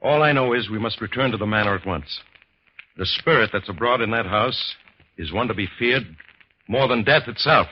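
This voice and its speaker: An actor playing a grave, learned doctor of the supernatural, solemn and portentous, in a 1950s radio horror drama. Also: male voice, lowish voice, normal speed, slight background noise, close to an everyday voice. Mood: solemn, persuasive. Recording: dull, lo-fi vintage radio recording.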